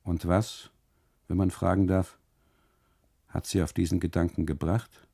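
A man voicing a dry, unsmiling custodian in a radio monologue, curt, dismissive and deadpan. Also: low-pitched, a little slow, gravelly, exaggerated voice. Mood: deadpan serious.

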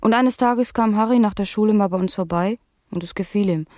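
A woman's voice: medium pitch, brisk, dry recording, lightly acted. Actress as blasé young woman, dry studio sound. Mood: deadpan, clinical. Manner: deadpan, matter-of-fact. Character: blasé young woman, addicted clubgoer giving clinical testimony. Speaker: talk-show guest. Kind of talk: satirical radio play acting.

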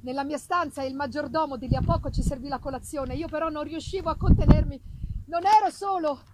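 Woman, high voice, theatrical literary narration, deliberate and expressive, noisy live recording. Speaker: reader. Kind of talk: dramatic first-person literary narration.

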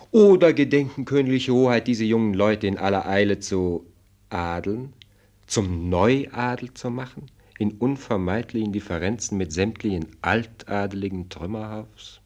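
An older man acting a shrewd, courtly minister of state in a radio play, speaking in measured, ornate, dryly pointed phrases. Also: fairly low pitch, animated, a little mellow. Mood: dryly suggestive.

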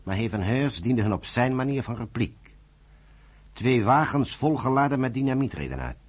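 A man's voice: mid-range voice, animated, moderate pace, breathy edge. A man narrating a radio drama from a script.

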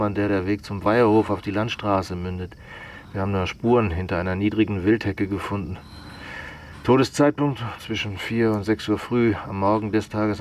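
A low male voice from an actor plays a police investigator in a radio drama, with night ambience behind. He is measured and dryly matter-of-fact, with a courteous, insinuating edge. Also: slight background noise, close to an everyday voice. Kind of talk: naturalistic radio drama dialogue.

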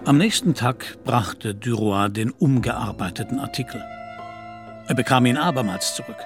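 Radio play narrator, male, medium pitch, literary prose delivery with music clearly underneath. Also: expressive, normal speed.

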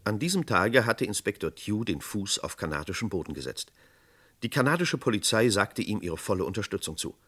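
An actor as the sober narrator of a vintage radio crime drama, delivering scripted narration. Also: male voice, on the low side, sing-song, a little fast, fairly bright tone, breathy edge, full character voice. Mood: grave.

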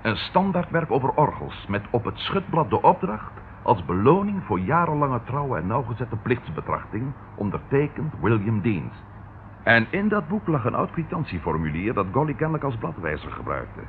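An actor playing an animated, dryly ironic police detective in an old radio drama. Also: male voice, mid-range voice, moderate pace, close to an everyday voice. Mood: intrigued. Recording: muffled, noisy old radio recording.

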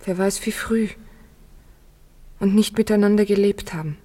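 An actress playing a poor young daughter, loving, playful yet earnest, in a 1950s German radio play with expressive delivery. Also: female voice, mid-range voice, moderate pace, close to an everyday voice. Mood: pleading, earnest. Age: young adult.

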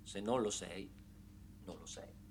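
A man's voice: sits low, slow pace, a little hiss or hum. A man performs a theatrical satirical monologue in a dramatic, colloquial, sardonic storytelling manner, leaning on rhetorical repetition. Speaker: stage monologist. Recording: loud live guitar music accompaniment. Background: plucked guitar music.

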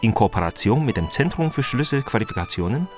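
A man's voice: mid-range voice, very animated, fast talker, music in the background. A man reading the scripted closing credits of a radio play. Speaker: credits announcer.

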